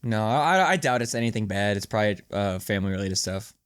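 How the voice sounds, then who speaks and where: Man, smooth clear voice, dry close sound, slangy casual podcast chat, co-host. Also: on the low side, expressive, quick pace, no room sound. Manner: informal, slangy.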